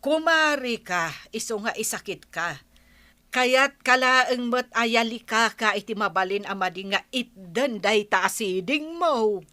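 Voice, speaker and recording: An actress plays a worried, scolding woman in a radio-style drama. Her voice sits fairly high and has a bright, forceful edge as she chides. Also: animated, a little slow. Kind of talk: Filipino audio drama acting.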